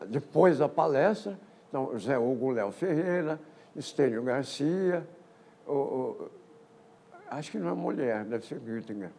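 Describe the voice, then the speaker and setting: Gravelly older man, lecturing. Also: mid-range voice, lively intonation, warm tone. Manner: halting, with many repeated words and false starts. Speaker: physics colloquium speaker. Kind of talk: historical lecture with slides. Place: lecture auditorium.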